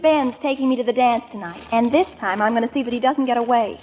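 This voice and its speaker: An actress playing a sassy, defiant young niece in a 1940s radio comedy, with pert, airy retorts. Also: female voice, a little high, fast talker, darkish tone, close to an everyday voice. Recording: dull 1940s radio recording.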